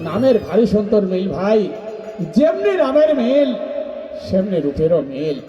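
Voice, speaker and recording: High-pitched Bangladeshi man, theatrical puppet-show patter. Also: very animated, a little slow, highs cut off. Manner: theatrical, repeats answers aloud. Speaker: puppet show performer and presenter. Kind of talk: folk puppet theatre patter, call-and-response. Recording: echoey, loud folk percussion and music.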